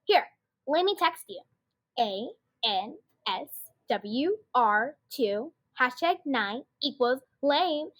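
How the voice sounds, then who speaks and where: A young girl acts out a phone-obsessed schoolgirl in a comedic radio play. Her voice is very high and bright, gushing and chatty, quick to turn whiny. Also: lively intonation, heavily acted. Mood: gushing, carefree. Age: child about twelve.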